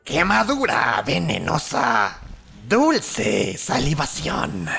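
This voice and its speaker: A man with a Latin American Spanish accent voicing a menacing, malicious venomous winged serpent from a video game, with a raspy voice and a slow, sinister, dramatic delivery. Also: mid-range voice, a bit bright, exaggerated voice. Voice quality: raspy, gravelly. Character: menacing venomous flying serpent-dragon, male, gloating and malicious. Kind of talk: video game character voice lines, fandub.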